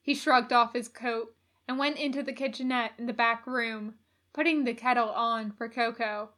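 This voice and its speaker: A woman reading a story aloud as expressive scripted narration. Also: a little high, normal speed. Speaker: audiobook reader.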